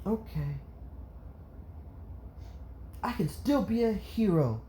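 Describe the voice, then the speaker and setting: A person voicing a small, earnest, tearful boy who speaks in a plaintive, halting way. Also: medium pitch, big pitch swings, dark tone, voice pushed up. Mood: stubborn resolve. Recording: roomy echo, faint background noise.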